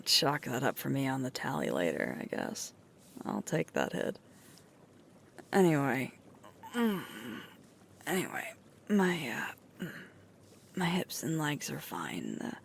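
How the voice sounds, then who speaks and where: A woman playing a sweet, tired, affectionate werewolf girlfriend in an intimate ASMR roleplay, speaking softly with a breathy, creaky voice. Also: rumbling low, wildly swooping pitch, takes it slowly, pauses often, a little hiss or hum, heavily acted. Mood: sheepish, amused. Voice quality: breathy, creaky, often whispering.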